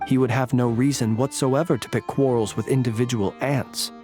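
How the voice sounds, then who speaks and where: Man voicing a composed, formal gentleman warrior. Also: mid-range voice, steady tone, close to an everyday voice. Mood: grave, measured. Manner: measured, formal, solemn. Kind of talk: video game character acting. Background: music.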